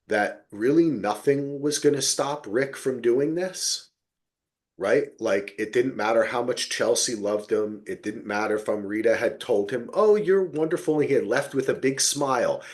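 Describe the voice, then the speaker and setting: A male podcast host chats casually about television with lively, swinging intonation. He rambles and restarts, checks in for agreement and swears freely. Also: mid-range voice. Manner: rambling, halting, checks in with "right?" and "you know".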